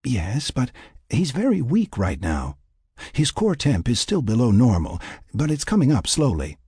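A man voicing a calm, careful woman medic who explains things clinically in an audiobook. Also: medium pitch, unhurried, slightly rough, dry recording, studio quality, voice pushed up, full character voice. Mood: cautious. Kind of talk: audiobook character dialogue.

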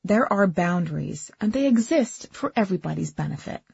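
A woman's voice: on the low side, animated, brisk, vocal fry, darkish tone, slightly rough, no room sound, dull audio, heavily acted. A woman voicing a haughty, entitled rich daughter in an audiobook, with a condescending, coolly snide manner.

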